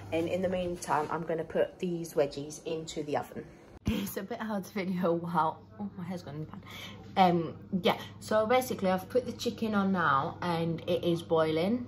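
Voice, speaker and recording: A woman's voice: lowish voice, slight background noise, low-quality recording. A young woman vlogging as she cooks in her home kitchen, chatting casually with lots of fillers and restarts. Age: young adult. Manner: chatty, rambling, many fillers and self-corrections. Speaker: cooking vlogger. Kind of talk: casual cook-along chat. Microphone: phone camera propped close, moving around.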